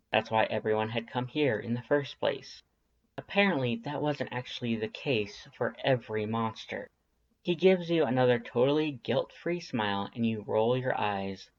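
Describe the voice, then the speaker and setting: A man's voice: medium pitch, normal speed. Man narrating a story, slightly dull sound. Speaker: fan fiction audiobook narrator. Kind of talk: scripted second-person story narration.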